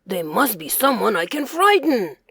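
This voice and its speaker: A woman voicing a large, growly male bear who is stubborn and wants to frighten others, speaking low and insistent. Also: sing-song, moderate pace, fairly bright tone, husky, no echo, exaggerated voice.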